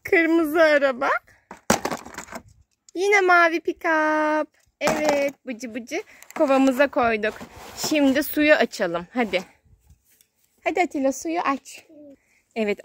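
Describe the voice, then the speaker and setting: High pitched lady talking slow and playful to a little kid, sing-song, outside w slight background noise. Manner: sing-song, exclaiming, simple short phrases. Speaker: children's video host playing with a child. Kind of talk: playful child-directed play narration. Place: outdoors on grass in a garden.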